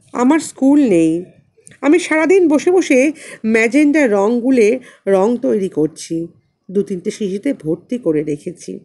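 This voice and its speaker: ধীর লয়ে গল্প পাঠ করা এক বাঙালি নারী. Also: female voice, mid-range voice, dark tone. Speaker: audiobook narrator and channel host. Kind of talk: expressive literary story narration.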